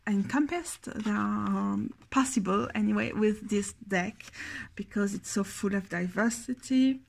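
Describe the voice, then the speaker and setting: A woman's voice: medium pitch, unhurried, creaky. A tired young woman with a Belgian French accent, a French speaker reviewing a tarot deck in English, hesitant and often searching for words. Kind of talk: casual video review.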